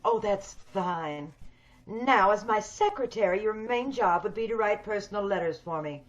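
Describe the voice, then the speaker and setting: A woman playing a sinister, hideous schemer in an old-time radio horror play, speaking with measured, coolly polite menace. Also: mid-range voice, lively intonation, close to an everyday voice. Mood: controlled, calculating. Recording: dull video-call radio play recording.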